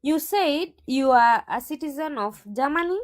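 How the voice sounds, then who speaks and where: High-voiced, sassy woman agent. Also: lively intonation, normal speed. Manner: firm, sassy, answers back with questions. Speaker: recruitment agent. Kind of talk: defensive interview answers.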